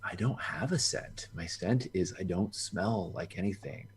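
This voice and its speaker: A man's voice: low voice, unhurried, slight background noise. A man playing a tabletop game, talking casually and hesitantly with many fillers. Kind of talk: casual actual-play chat.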